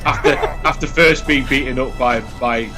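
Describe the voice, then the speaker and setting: A man's voice: medium pitch, normal speed. A British man giving casual co-commentary on a video game. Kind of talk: casual gameplay commentary chat. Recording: loud game music behind.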